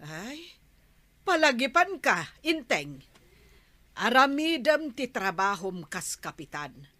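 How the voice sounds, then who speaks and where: A woman playing a homely, generous but nagging village woman in a comic radio drama, with expressive, drawn-out delivery. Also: on the high side, no echo, full character voice.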